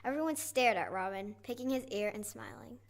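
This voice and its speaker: A woman's voice: on the high side, fairly quick. A young girl narrating a scripted radio play. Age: child or young performer.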